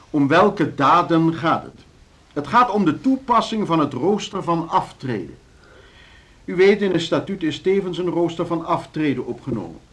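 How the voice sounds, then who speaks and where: A man's voice: fairly high pitch, close to an everyday voice. An actor playing a pompous, formal male board chairman giving an evasive, oratorical address in a radio play. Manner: pompous, oratorical, self-repeating.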